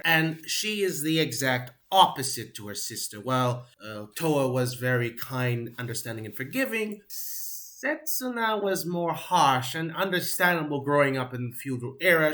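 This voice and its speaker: A man's voice: mid-range voice, sharp tone. A man giving a casual, rambling spoken review, often hesitating and trailing off as he searches for words. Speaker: anime reviewer.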